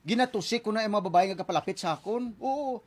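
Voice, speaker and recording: A man's voice: high-pitched, lively intonation, fast talker. An actor playing a cheeky young womanizer who narrates his own story in a radio drama. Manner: cheeky, laughing often. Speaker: lead character and narrator.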